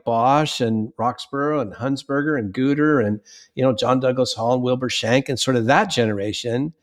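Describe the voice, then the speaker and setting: A man hosting a podcast interview, a bishop who asks long, rambling questions full of fillers over a remote call. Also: mid-range voice. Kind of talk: conversational interview.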